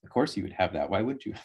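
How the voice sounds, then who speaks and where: A man's voice: fairly low pitch, sing-song, brisk, husky. A man speaking as a guest in a casual discussion, hedging his points with frequent ums.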